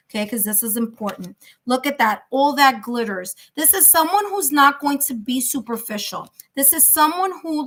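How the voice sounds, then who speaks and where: A woman's voice: a little high, fairly bright tone. A woman with a New York accent giving live tarot readings in a chatty, reassuring way, thinking aloud with fillers. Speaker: tarot reader.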